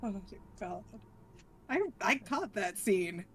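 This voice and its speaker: A woman with a middling pitch plays in a tabletop roleplaying game. She talks casually, in the conversational way of someone chatting with friends around the table. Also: animated, takes it slowly, a bit bright.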